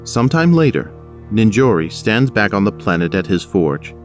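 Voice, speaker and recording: A man's voice: on the low side, very animated, moderate pace, faint music behind. A man narrating a scripted audio drama.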